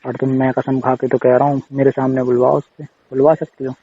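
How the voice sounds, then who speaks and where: A man with a dark, husky voice and little pitch movement talks on a casual personal call. He is defensive, repeating himself in a pleading way. The recording is slightly muffled and lo-fi. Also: flat delivery, fairly quick.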